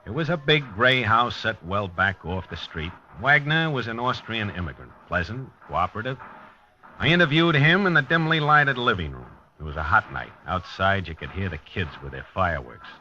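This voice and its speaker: An actor playing a plain-spoken, probing police lieutenant in 1950s radio drama style. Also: male voice, lowish voice, very animated, moderate pace, bright, forceful tone, slight background noise, full character voice. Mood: matter-of-fact narrating. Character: dogged male police lieutenant investigating a homicide. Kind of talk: 1950s radio acting, narration and dialogue. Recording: dull 1950s radio recording.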